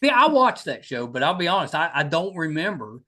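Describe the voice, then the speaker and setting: A man's voice: on the high side, very animated, a little fast, sharp tone. A man hosting a podcast, chatting casually as he interviews a guest. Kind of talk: casual chat.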